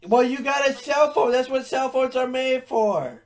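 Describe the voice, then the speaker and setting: A man in his thirties with a distinctly high voice. He talks as a prank caller, in a staged-style phone call recorded in a small bedroom. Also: moderate pace.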